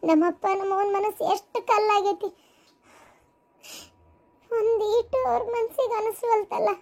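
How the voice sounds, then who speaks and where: A woman's voice: extremely high pitch, unhurried, a little mellow. A woman voicing the grieving, restless ghost of a young mother in a melodramatic cartoon story, lamenting with a North Karnataka accent. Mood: anguished, tearful. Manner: plaintive, lamenting.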